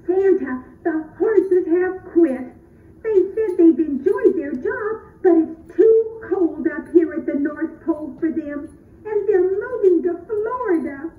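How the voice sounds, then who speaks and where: Very high, eager little elf played by a woman. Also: animated, exaggerated voice. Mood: anxious. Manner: earnest, exclamatory, drawn-out delivery. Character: eager, excitable young elf helper of Santa. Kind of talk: children's puppet show. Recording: roomy, distant, muffled phone-like audio.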